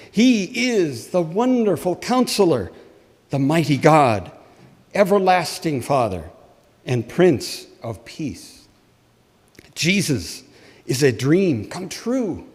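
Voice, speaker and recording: A man playing a solemn, impassioned ancient Hebrew prophet, delivering a scripted sermon in measured, emphatic oratory. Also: fairly high pitch, darkish tone, full character voice. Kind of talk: dramatic first-person sermon read from a script. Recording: long hall reverb.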